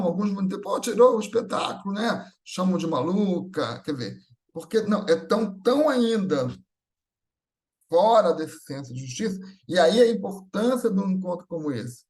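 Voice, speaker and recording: A Brazilian man with a fairly high voice speaks at a religious gathering. He talks informally and conversationally, illustrating his points with everyday anecdotes, voicing the people in them and often adding short tag questions. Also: a little mellow. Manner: acts out everyday dialogue in anecdotes.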